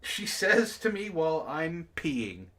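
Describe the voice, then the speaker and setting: A man's voice: fairly high pitch, big pitch swings, slow pace, pushed and bright. A man hosting a read-aloud, narrating casually and breaking off for sardonic, profane asides. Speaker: book club host reading a story aloud with commentary.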